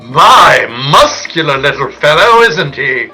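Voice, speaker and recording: An actor playing a pompous, fussy scientist with a pedantic, condescending manner in an old-time radio comedy. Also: male voice, fairly high pitch, big pitch swings, takes it slowly, pushed and bright, breathy edge, soft background music, full character voice. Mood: pedantic, marveling. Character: pompous, genteel male scientist, fussy caterpillar expert.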